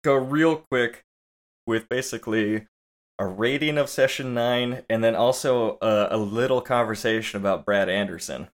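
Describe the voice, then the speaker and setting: A man co-hosting a casual movie podcast, relaxed and playful, talking after very little sleep. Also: medium pitch, moderate pace. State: low on sleep. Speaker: podcast co-host.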